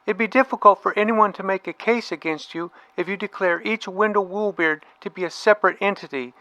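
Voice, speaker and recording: A man's voice: sits high, a little fast, pushed and bright, dry recording. A man playing a pedantic adviser in an audio drama, speaking in precise legalese. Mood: pedantic, measured. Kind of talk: audio drama character dialogue.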